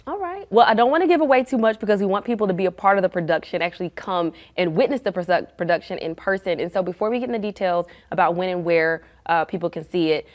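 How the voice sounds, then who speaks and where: A middle-aged woman hosting an upbeat lifestyle TV interview in a studio, effusive and encouraging. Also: lowish voice. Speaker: TV show host. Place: small indoor TV studio. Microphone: lapel mic.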